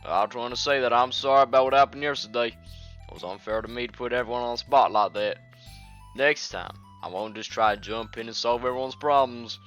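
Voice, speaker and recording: A man's voice: medium pitch, brisk, a bit bright, lo-fi sound, soft background music, lightly acted. A young British man voicing a confident, caring teenage girl who leads a club, in his own voice, upbeat and reassuring.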